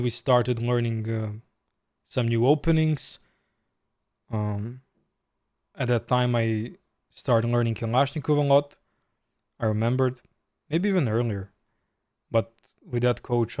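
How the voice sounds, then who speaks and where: Chess streamer, man, Serbian accent, mid pitch, dry close mic, chatty live commentary. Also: unhurried, long pauses, a little mellow, dry recording. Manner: chatty, reads chat aloud and answers while playing.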